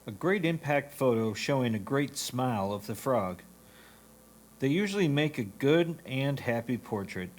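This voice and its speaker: A man of average pitch reads prepared critiques aloud. He is a reader at a judged competition, delivering written comments as scripted text. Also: big pitch swings, measured pace.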